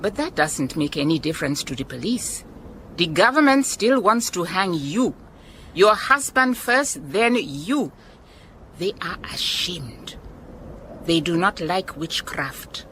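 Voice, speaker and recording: A wise, self-assured lady detective from a radio drama, with a Southern African accent. Her voice is very low and she takes her time over each phrase, warm and emphatic. There is clear background noise with chirping insects. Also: big pitch swings, heavily acted. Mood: grave, matter-of-fact. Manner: unhurried, measured, emphatic repetition.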